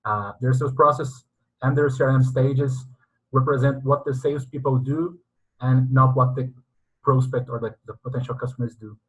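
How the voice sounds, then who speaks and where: A man's voice: mid-range voice, fairly even intonation, moderate pace, breathy edge, low-quality recording. A man hosting an interview, giving formal introductions and asking long questions. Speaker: interviewer, sales consultant.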